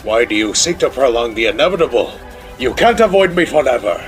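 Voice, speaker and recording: A man's voice: mid-range voice, sing-song, fairly quick, soft background music, lightly acted. A man playing a proud, menacing cat-man villain in a comic dub, with a pompous, formal delivery.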